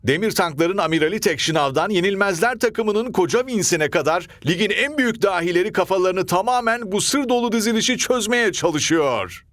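A man's voice: sits high, expressive, moderate pace, hardly any pauses, a bit bright. A man playing a dramatic sports news presenter in a cartoon news report.

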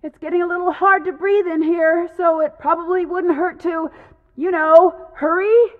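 A woman playing an upbeat, curious young female detective in a video game, youthful, perky and earnest, with clear diction. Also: sits high, no room sound, clean studio sound. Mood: urgent, encouraging. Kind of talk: scripted video game voice acting, thinking aloud.

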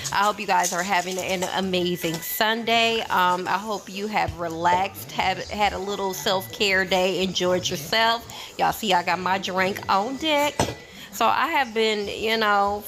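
Woman vlogger, casual and playful, mid pitch, home kitchen chat. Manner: chatty, playful, says y'all a lot. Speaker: cooking vlogger. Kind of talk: casual cook-along chat.

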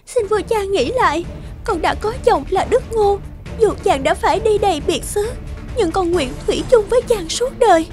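An actress playing a gentle, faithful young princess and wife in a cartoon folk tale, speaking tenderly and pleadingly. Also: female voice, very high-pitched, expressive, normal speed, faint music behind. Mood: pleading, resolute. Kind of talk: animated folk-tale dialogue.

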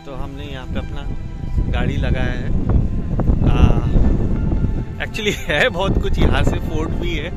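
A man's voice: a little high, slow pace, pauses often, loud music behind the voice, rough recording. A man narrating a casual travel vlog outdoors on a windy mountain pass, giving viewers practical tips in a chatty way. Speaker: travel vlogger.

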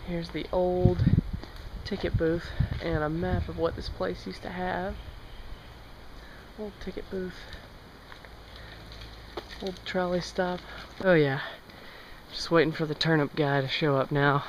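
A young man with a slightly high voice talks his way along an outdoor trail for his travel vlog. He muses out loud and drops wry asides, recorded on the camera's own mic. Steady outdoor noise sits under his voice. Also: slow pace, long pauses. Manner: chatty, musing, wry asides. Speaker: travel vlogger. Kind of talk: casual first-person travel narration. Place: outdoors on an overgrown trail and railway line. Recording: outdoor camera audio with clear ambient noise.

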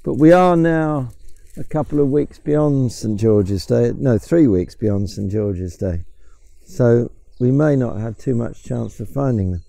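A man's voice: mid-range voice, unhurried, vocal fry, warm tone, no room sound. An older man with an English accent, casually explaining wild plants and mushrooms while walking through woods, with some hesitant restarts. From England. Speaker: mushroom forager guide. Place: woodland outdoors.

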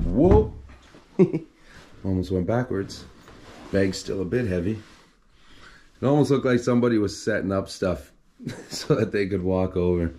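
A man filming himself as he explores a flooded basement in an abandoned house, talking in a low, hushed voice while crouching and climbing over clutter. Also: slight background noise. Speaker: urban explorer filming a tour. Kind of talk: hushed exploration vlog narration.

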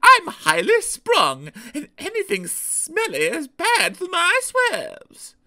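A woman's voice: extremely high pitch, wildly swooping pitch, slow pace, bright, forceful tone, voice pushed up, exaggerated voice. A woman voicing a vain, fussy female diesel rail car in a theatrical, self-important storybook manner. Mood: disgusted, haughty.

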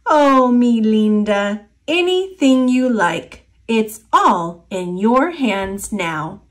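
A kindly old storybook grandmother acted by an American woman. She is playful and indulgent, with drawn-out words and a pitch that rises and dips freely. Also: expressive. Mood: tender. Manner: tender, indulgent, sprinkles in Spanish.

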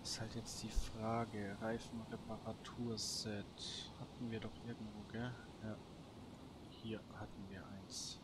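Musing man over clear background noise. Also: lowish voice, flat delivery, a little slow. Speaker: gaming YouTuber, let's player. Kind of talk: casual solo gameplay commentary, thinking aloud.

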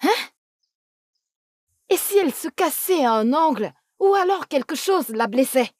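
A woman's voice: sits high, expressive, measured pace, a little mellow, dry recording, studio quality. A woman dubbing a sarcastic, scolding wealthy mother in a film. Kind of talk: French film dub.

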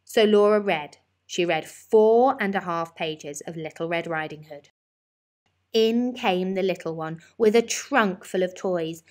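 A woman reading a children's bedtime story as an expressive narrator. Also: on the low side, a little slow.

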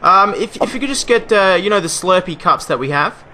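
Brief: man, prank caller, rapid and bright, faint line hiss. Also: sits high, animated, low-quality recording. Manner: fast, breezy, mock-official patter. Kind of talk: prank phone call.

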